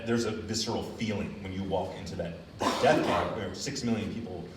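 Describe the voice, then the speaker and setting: A man's voice: sits low, moderate pace, very noisy. A man from Philadelphia, an author at a university reading, answering earnestly and with emphasis, with plenty of fillers. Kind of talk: casual Q&A answer. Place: university reading event hall. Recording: roomy, distant, faint ambience.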